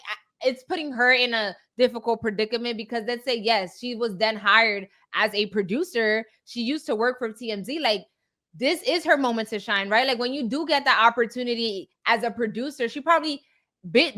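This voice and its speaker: A woman's voice: on the high side, fairly bright tone. A woman co-hosting a talk podcast, giving casual, opinionated commentary and repeating her points for emphasis. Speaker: podcast co-host.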